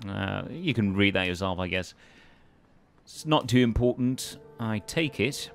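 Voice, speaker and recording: Lively casual man doing game commentary. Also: lowish voice, a little slow, vocal fry, faint music behind. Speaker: let's play host.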